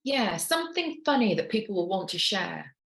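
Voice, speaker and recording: A woman's voice: medium pitch, sing-song, normal speed, gravelly, fairly bright tone. A woman playing a sales rep at a struggling firm in an amateur comic story. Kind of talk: amateur comic audio drama.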